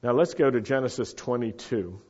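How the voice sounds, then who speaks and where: A man's voice: medium pitch, normal speed, a little mellow. A man giving a teaching sermon to a live congregation, sometimes putting questions to the audience. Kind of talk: Torah-portion sermon. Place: meeting room with a live congregation. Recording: muffled lo-fi recording.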